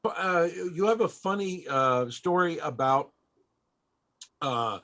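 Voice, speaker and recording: A man hosting a panel, telling a personal story in a halting, reflective and emotional way. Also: on the high side, animated, fairly bright tone. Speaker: panel host and entertainer. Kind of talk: storytelling at a live interview panel.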